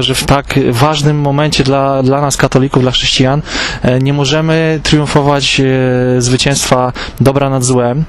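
A man's voice: mid-range voice, a little hiss or hum. Earnest man on a handheld mic. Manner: earnest, with frequent fillers. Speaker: interviewee. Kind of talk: street interview. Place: outdoors on a town street.

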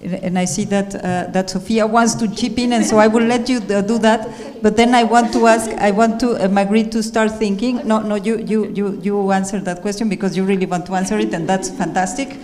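A woman's voice: medium pitch, fairly even intonation, a little fast, hardly any pauses, a little mellow. Woman, panel moderator, enthusiastic, many fillers, handheld mic, long hall reverb. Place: theater stage at a panel event.

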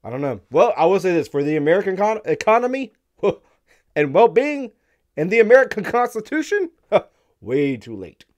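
A man in his thirties hosting a crypto live stream, hoarse and losing his voice, talking bluntly with wry laughs into a studio mic. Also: high voice, very animated, moderate pace, darkish tone. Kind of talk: casual opinionated commentary. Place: dark studio room, indoors. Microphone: studio mic on stand in front.

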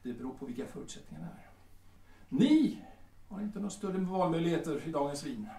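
Guy playing a smug creepy café boss in a radio play, highish voice, keeps repeating himself, bit far from mic. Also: sing-song, vocal fry, dark tone. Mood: threatening. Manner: hesitant, oily, repeating himself. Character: smug, lecherous male Viennese café owner, haggling and menacing. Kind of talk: Swedish audio drama acting. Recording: roomy, distant from the mic.

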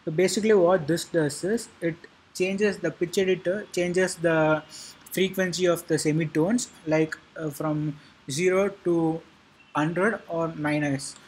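A man narrating an informal software tutorial in a halting, repetitive way, with a husky voice. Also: on the high side, steady tone, rough recording. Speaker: tutorial presenter.

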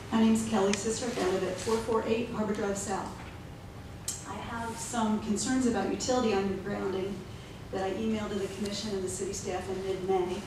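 Woman reading a statement in an echoey chamber. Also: mid-range voice. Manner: measured, reading from notes, formal. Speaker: resident giving public comment. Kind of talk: prepared statement read at a podium. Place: city commission chamber. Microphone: podium gooseneck mic. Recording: echoey chamber, mic at a distance, noisy.

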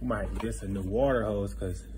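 A man's voice: fairly low pitch, steady tone, moderate pace. A man chatting casually as a home vlog host, playful and encouraging with his family. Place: at home, indoors and out in the yard. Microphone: handheld phone. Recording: clearly noisy handheld phone recording.

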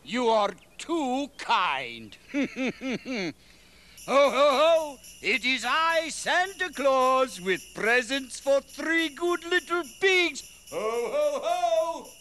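Wolf villain, high nasal tone, slow, hammy, music behind. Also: lively intonation, sharp tone, heavily acted. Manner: hammy, blustering. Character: scheming big bad male wolf. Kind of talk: puppet comedy acting.

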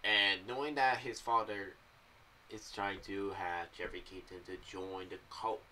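Bright-voiced young man, slightly off-mic. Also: deep voice, steady tone, unhurried. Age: young adult. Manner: rambling, loosely phrased. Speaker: YouTuber reviewing an audio drama series. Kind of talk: casual theory commentary. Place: small home room. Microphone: condenser mic on boom arm with pop filter, slightly off to the side. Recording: slightly distant mic, faint background noise.

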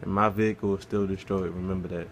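Low-voiced dude narrating his gameplay, flat and laid-back, cusses a lot. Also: fast talker, a little hiss or hum. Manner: laid-back, swears casually, talks through his play. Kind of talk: casual game walkthrough commentary.

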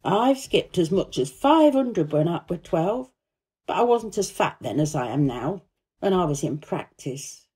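A woman voicing a cheerful, warm-hearted young Yorkshire housemaid in a storybook, chatty and blunt in dialect. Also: lowish voice, big pitch swings, a little slow, husky, exaggerated voice. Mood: encouraging.

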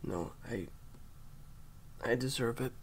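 A man playing a grieving, guilt-ridden secret agent in an audio roleplay, choked up and halting, sometimes dropping toward a whisper. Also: medium pitch, a little hiss or hum, close to an everyday voice. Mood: anguished, self-blaming. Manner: halting, faltering, breaking into whispers. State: upset, choked up with grief. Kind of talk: intimate audio roleplay acting.

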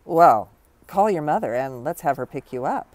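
A woman voicing a cautious, gentle-spoken male vice principal in a storybook, with measured questions and orders. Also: low voice, expressive, no echo. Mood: brisk.

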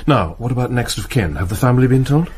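An actor playing a composed, courteous British police commander in a radio drama. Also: male voice, medium pitch, expressive, fast talker, a little mellow, husky. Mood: composed, businesslike.

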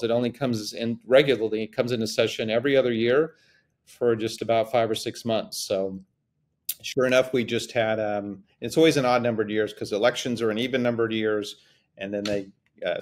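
A middle-aged man hosting a podcast about divorce law over a video call from his office, explaining in a relaxed way and repeating words as he thinks. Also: on the low side. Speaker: host, family law attorney. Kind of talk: casual explanatory podcast talk. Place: office with framed map, indoors. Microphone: video call webcam.

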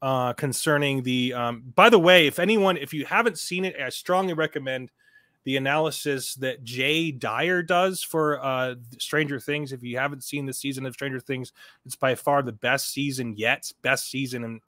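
A middle-aged man talks casually as a livestream host. His delivery rambles and circles back on phrases with emphasis. His pitch sits in the middle range, and the sound is dry and close. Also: normal speed, sharp tone, no echo. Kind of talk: casual commentary on a livestream, reading articles aloud.

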